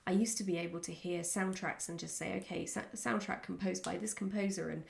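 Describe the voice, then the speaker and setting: A woman chatting casually in a question-and-answer vlog, speaking in a candid, reflective and somewhat halting way. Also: lowish voice, fairly even intonation, vocal fry, darkish tone. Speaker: writer answering follower questions.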